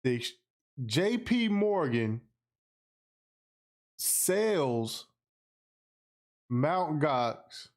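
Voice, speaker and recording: A man's voice: a little high, big pitch swings, very slow, pauses often. An American man hosting a casual livestream podcast, speaking in a slangy, emphatic way and repeating phrases for effect. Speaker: podcast host. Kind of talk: casual livestream monologue, responding to viewer comments.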